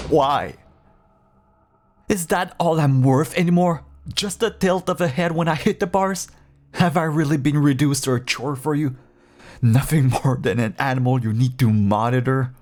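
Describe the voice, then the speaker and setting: A man playing a caged feral vampire who pleads clingily and bitterly with an estranged partner in an intimate dramatic audio roleplay, his voice husky and gravelly. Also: mid-range voice. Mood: bitter, anguished. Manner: clingy, pleading, swinging from hushed endearments to bitter outbursts.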